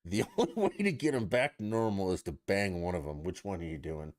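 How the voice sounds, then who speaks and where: Brash joking man with a low, lively voice. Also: brisk. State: tipsy. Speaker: podcast co-host asking questions. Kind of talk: casual crude banter.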